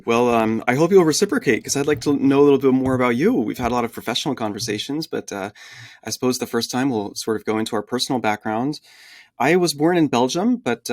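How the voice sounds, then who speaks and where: A middle-aged man raised in the United States, a podcast guest telling his life story in an animated, chatty way with frequent fillers. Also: medium pitch, no room sound, studio quality. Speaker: guest, translation agency founder. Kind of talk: conversational podcast interview.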